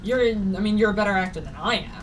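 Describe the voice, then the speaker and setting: Woman streamer, rambly and giggly, mid pitch, far from the mic, noisy background. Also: fast. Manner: rambling, laughs often, many fillers. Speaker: author hosting a livestream. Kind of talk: casual chat while streaming a game. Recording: distant mic with noticeable noise.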